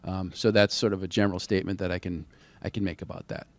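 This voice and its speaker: A man's voice: sits low, little pitch movement, fast, vocal fry, no echo. A man answering questions as a contracting officer at an industry briefing, careful and hedging, with frequent restarts.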